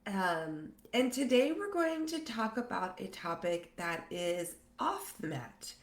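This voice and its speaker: A woman in her thirties, congested from a cold, hosting a live yoga workshop and talking haltingly with many fillers. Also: medium pitch, lively intonation. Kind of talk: live online workshop talk. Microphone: computer mic on a live stream. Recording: echoey room.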